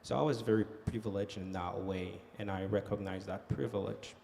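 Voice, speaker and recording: A man's voice: low-pitched, moderate pace, gravelly, slight background noise. A man from Haiti speaking on a conference panel as an economic development director, telling his story with many fillers. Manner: animated storytelling with frequent fillers. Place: conference hall.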